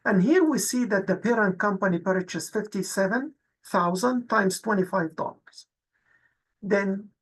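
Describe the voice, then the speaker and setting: Female instructor, mid-pitched and husky, methodical explanatory delivery for a tutorial. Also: rough recording. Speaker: accounting instructor. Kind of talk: explanatory tutorial narration over exercises.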